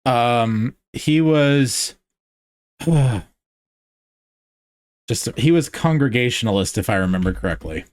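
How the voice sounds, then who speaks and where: A man in casual podcast conversation with a smooth, resonant voice, recorded close and dry. He talks in a relaxed, easy way, explaining historical details from memory. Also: mid-range voice, takes it slowly, pauses often, no room sound. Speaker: podcast co-host.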